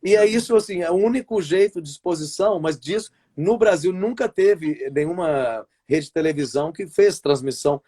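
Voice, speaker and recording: A man from Brazil telling long stories in a relaxed interview over a video call. His voice sits a little high for a man, and he wanders through his points with frequent restarts and little tag questions. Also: normal speed, hardly any pauses, slightly rough. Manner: rambling, restarts often, tags sentences with "né" and "sabe". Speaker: wrestling commentator and play-by-play announcer. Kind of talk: casual interview chat over a live stream.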